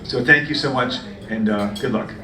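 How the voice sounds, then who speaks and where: A man speaking as a district leader at a club gala, joking and laughing with the crowd, then thanking the club warmly. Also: medium pitch, normal speed. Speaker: Kiwanis district leader giving a speech. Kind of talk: informal speech at a gala dinner. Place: large banquet hall. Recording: echoey hall, far-off mic, crowd noise.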